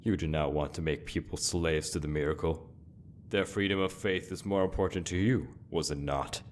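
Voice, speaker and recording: Male actor as fantasy game inquisitor, low-pitched, solemn, fanatical, self-righteous, speechmaking, slight background noise. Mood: solemn, accusing. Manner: solemn, deliberate, rhetorical speechmaking. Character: zealous old male inquisitor, grave, self-righteous, cracking with anguish. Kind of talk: dramatic monologue in a fantasy game mod.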